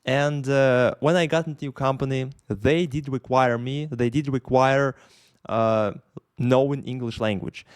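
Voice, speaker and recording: A man with a Russian accent talks straight to his camera without a script, circling back with lots of fillers and restarts. His pitch sits in the middle for a man. The sound is dry and close. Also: fairly even intonation, unhurried, smooth, no echo. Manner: rambling, many fillers and restarts. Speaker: YouTuber. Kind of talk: unscripted talk to camera. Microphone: camera in front of him.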